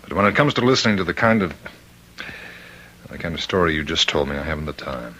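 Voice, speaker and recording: An actor playing a firm, formal, businesslike prison warden in an old-time radio drama. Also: male voice, extremely low pitch, animated, fast, a bit bright, breathy edge, exaggerated voice. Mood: firm, impatient. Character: brisk, dismissive but dutiful male prison warden.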